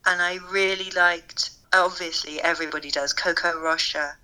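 A soft-spoken, middle-aged woman, a fashion lecturer, chatting enthusiastically with a strained, tired voice. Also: on the low side, fairly even intonation, normal speed, smooth, rough recording. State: voice strained from teaching. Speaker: fashion lecturer and podcast co-host. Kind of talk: casual conversation.

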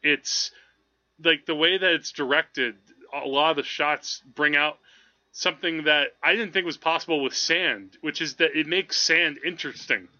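Guy on a movie podcast, really bright kinda high voice, rambly stop-start talk, slightly muffled lo-fi sound. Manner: rambling, halting, thinking aloud. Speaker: film podcast host. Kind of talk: casual movie discussion.

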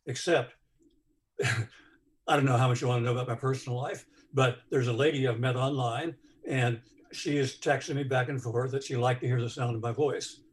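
A man with a bass voice sharing personal news in a group, hesitant with many pauses and fillers. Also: fairly even intonation.

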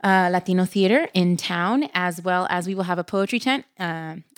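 A woman in her thirties co-hosting a podcast, chatty and quick to laugh while plugging events. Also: on the low side. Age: 30s. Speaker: podcast co-host. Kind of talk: casual podcast chat.

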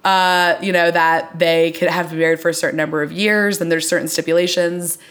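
A woman's voice: lowish voice, flat delivery, fairly quick, a bit bright. Woman chatting casually, reviewing television. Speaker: podcast co-host.

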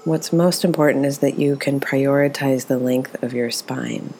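A woman leading a guided meditation as its teacher, speaking in a gentle, soothing, unhurried way. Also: a bass voice, steady tone, a little hiss or hum.

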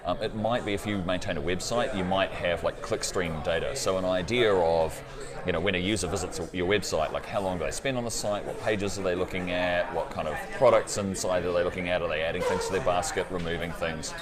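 A man's voice: sits low, brisk. A man at a conference explaining technology to an interviewer, often ending with "right?". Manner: explanatory, tags sentences with "right?". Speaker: cloud company partner guest. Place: busy conference floor. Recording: crowd noise behind. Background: crowd chatter.

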